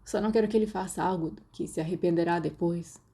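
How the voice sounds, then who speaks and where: Audiobook role: teenage boy played by a woman, animated, teasing, brooding, mellow tone. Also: fairly low pitch, fast talker, breathy edge. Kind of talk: audiobook character dialogue.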